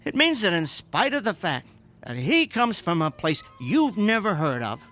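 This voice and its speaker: A man's voice: sits high, big pitch swings, moderate pace, sharp tone, soft background music, exaggerated voice. A man acting in a radio drama as an earnest, henpecked husband and amateur scientist, loyal and defiant, speaking emphatically. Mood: indignant.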